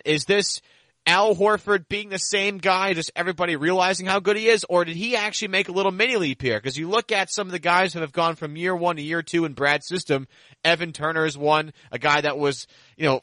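A man's voice: high-pitched, bright, forceful tone, dry recording. A man hosting a sports podcast, talking emphatically and piling up superlatives. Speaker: podcast host. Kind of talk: sports talk and host-read ad.

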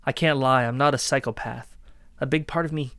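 A man acting a cold, gloating killer who calmly taunts his ex in a dark audio drama. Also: medium pitch, no echo, clean studio sound, lightly acted. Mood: smug, menacing. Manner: casual, calmly taunting, unhurried menace.

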